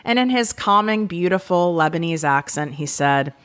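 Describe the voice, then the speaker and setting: A woman hosting her own podcast, talking frankly and with self-deprecating humor in an energetic solo monologue. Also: fairly low pitch, normal speed, smooth. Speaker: podcast host.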